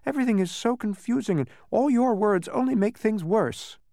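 Man voicing a polite, high-pitched young boy. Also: sing-song, a little fast, dry recording, clean studio sound, full character voice. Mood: puzzled, protesting. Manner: soft, polite, protesting.

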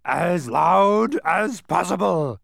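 A man voicing a hoarse, croaking, eccentric male doctor who fires odd questions. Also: normal speed, no room sound, clean studio sound. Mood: roaring. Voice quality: raspy, croaking. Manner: booming, insistent questions.

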